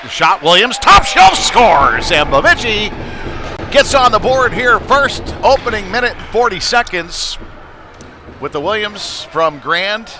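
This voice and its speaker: Man calling hockey over clear rink noise. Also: sits high, big pitch swings, takes it slowly. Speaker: play-by-play sports commentator. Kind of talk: live youth hockey play-by-play commentary. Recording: noisy live rink ambience. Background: ice rink game noise.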